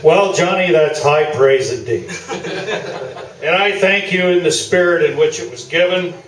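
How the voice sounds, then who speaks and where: A man's voice: on the high side, unhurried, natural voice. A man playing a pompous, boastful veteran newspaper sports columnist in a comic radio play. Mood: grandiose. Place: small theatre, live performance. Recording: roomy, distant stage recording with noise.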